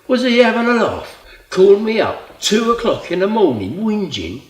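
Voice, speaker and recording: A man's voice: deep voice, big pitch swings, normal speed. A man playing an exasperated, guilt-ridden middle-aged character in a colloquial, emotionally volatile stage monologue. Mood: indignant, exasperated. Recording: echoey room.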